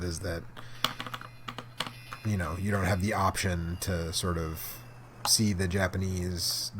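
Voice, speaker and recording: A man chatting casually as he plays a game, commenting in rambling, halting asides. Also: low-pitched, fairly bright tone. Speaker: host playing an arcade game. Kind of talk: casual gaming chat and commentary. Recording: clearly noisy room, clicking controls and keys.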